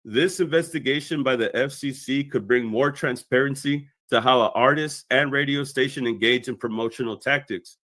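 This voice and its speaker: Man, video commentator, scripted explanatory delivery, mid-pitched voice, dry sound. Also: normal speed, few pauses, a bit bright, no room sound.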